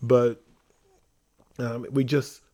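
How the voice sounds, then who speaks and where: A man talking casually on a podcast as one of the hosts, informal and animated, often acting out quotes. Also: mid-range voice, drawn-out words. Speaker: theater company member and podcast host.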